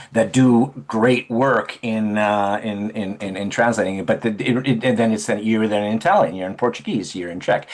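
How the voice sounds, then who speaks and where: A man who is an actor, a guest on a video call interview, telling rambling stories with frequent laughs. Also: on the low side. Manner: rambling, laughing, animated storyteller. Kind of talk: casual interview chat.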